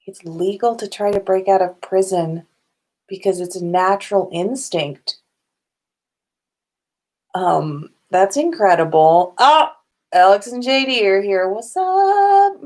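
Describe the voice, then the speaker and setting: Female livestream host, unhurried delivery, mid-range voice, informal and chatty, talking to viewers from home. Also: mid-range voice, lively intonation, darkish tone. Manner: informal, chatty, sprinkled with swearing and giggles. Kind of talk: casual livestream chat with viewers. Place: indoors at home.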